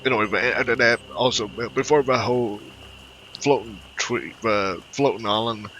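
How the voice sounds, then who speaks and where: A man giving casual, rambling game commentary, halting and repeating his words as he goes. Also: mid-range voice, fairly bright tone. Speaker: gaming video host. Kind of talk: casual game commentary and reading quest text aloud. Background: video game music.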